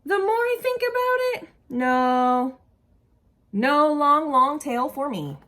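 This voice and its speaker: Animated, unhurried woman reading to children. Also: high-pitched. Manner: animated, asks children simple questions. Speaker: early childhood educator reading aloud. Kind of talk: expressive picture-book read-aloud with asides to young children.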